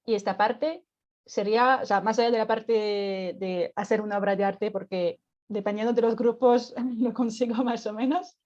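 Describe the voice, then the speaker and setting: A woman's voice: on the high side, little pitch movement, normal speed, darkish tone, muffled recording. A woman from France speaking with a French accent, patiently explaining a climate workshop as a radio guest, with many filler words and light laughs.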